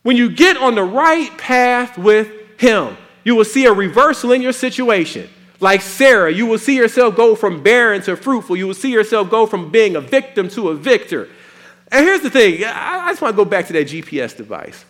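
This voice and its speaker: A man preaching a sermon in a folksy, animated way with playful asides. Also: sits high, moderate pace.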